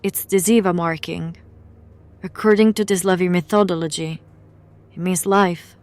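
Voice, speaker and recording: Actress as a low, smooth-voiced occult scholar woman. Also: fairly even intonation, normal speed, darkish tone, no room sound, lightly acted. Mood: intent. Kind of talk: audio drama acting.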